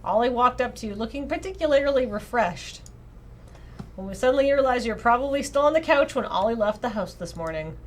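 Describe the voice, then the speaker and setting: A woman reading a visual novel aloud on a livestream in an expressive, casual way, often breaking off into playful asides. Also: fairly high pitch, fairly quick, slight background noise.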